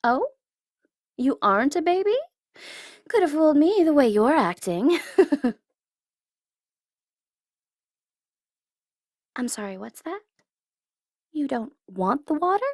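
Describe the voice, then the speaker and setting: A woman playing a teasing tsundere supervillain in an ASMR audio roleplay, condescending but easily flustered, sometimes slipping into soft whispers. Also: high-pitched, sing-song, unhurried, long pauses, dry recording, clean studio sound. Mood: mocking. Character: teasing, tsundere female supervillain flustered by her captive hero.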